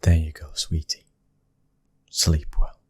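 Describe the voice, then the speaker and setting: A man playing a gentle, devoted mafia husband and father with a British accent. His voice is extremely deep and very breathy, often sinking to a whisper. The delivery is even and intimate, in a soft ASMR roleplay style, recorded close and dry. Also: slow pace, dry recording, heavily acted. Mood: soothing, hushed.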